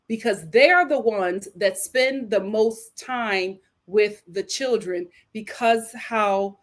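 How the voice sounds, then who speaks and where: A woman hosting a casual livestream, chatting and giving opinions in a theatrical, playful, emphatic manner with drawn-out words. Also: mid-range voice. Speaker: livestream host reacting to viewer messages. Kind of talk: casual live chat and opinion commentary.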